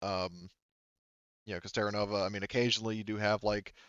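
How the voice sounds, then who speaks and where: Halting, clear-voiced male game master. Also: lowish voice, level tone, a bit bright, no echo, muffled recording. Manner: halting, rambling delivery full of hedging asides. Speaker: game master of a tabletop roleplaying game. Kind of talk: improvised narrating and refereeing of a tabletop game.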